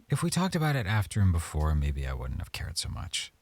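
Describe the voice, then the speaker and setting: A man playing an affectionate, hesitant young boyfriend in an intimate ASMR roleplay, speaking softly and haltingly as he thinks aloud. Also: sits low, no echo. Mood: playful, musing.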